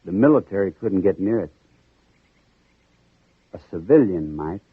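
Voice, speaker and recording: An actor playing a polite, folksy corporal with a drawl and a sly purpose, in old radio drama style. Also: male voice, low voice, expressive, unhurried, slightly rough, dry recording, full character voice. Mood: grave, sly. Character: folksy Southern corporal, secretly a cunning Union spy. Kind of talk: 1940s-50s radio drama acting. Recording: muffled narrow-band old radio recording.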